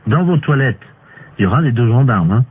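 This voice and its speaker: A man playing a hesitant, deadpan male court official in an improvised telephone prank. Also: mid-range voice, a little fast. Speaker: prank caller. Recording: tinny phone line with clear hiss.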